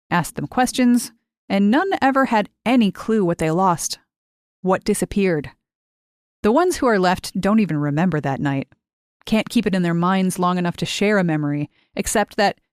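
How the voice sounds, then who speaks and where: A woman's voice: medium pitch, no echo, clean studio sound. A woman reading literary first-person short fiction as an audiobook-style narrator.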